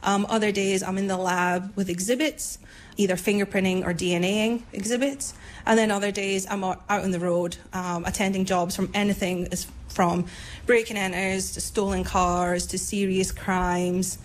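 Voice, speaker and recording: A woman's voice: mid-range voice, flat delivery, normal speed. A woman in her thirties with a Scottish accent, speaking informally in an interview as a police forensic officer. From Scotland. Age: 30s.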